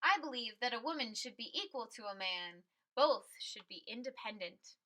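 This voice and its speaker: A bold woman crusader from a picture book, as a woman reader voices her. She speaks in a high, bright voice with firm, declarative conviction, as if addressing a rally. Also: animated, moderate pace, low-quality recording. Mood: earnest. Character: bold, determined woman crusader for equal rights.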